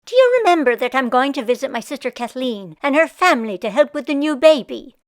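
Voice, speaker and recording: A brisk country wife from a storybook, acted by a woman. She nags and insists with bossy emphasis. Her pitch is high and leaps around in a lively way. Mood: insistent.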